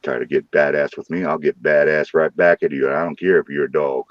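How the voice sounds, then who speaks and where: Deep-voiced rowdy man with a St. Louis accent. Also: fairly even intonation, brisk, no room sound, highs cut off. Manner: rowdy, joking, swears freely. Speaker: live stream host. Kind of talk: casual live stream chat. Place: his own room at night.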